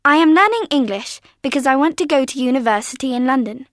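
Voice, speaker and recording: A determined pupil from Singapore, voiced by a woman, high-pitched and careful. The speech is read slowly and clearly. It is a dry, close studio recording. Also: animated, no echo. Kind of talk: scripted textbook monologue.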